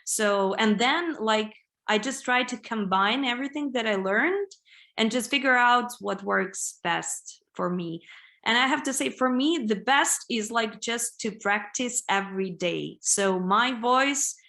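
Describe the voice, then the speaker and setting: A woman's voice: on the high side, unhurried, bright, forceful tone. A young woman from Ukraine with a Ukrainian accent, a band singer answering interview questions in a chatty way full of "like" and "you know". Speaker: band vocalist, interview guest.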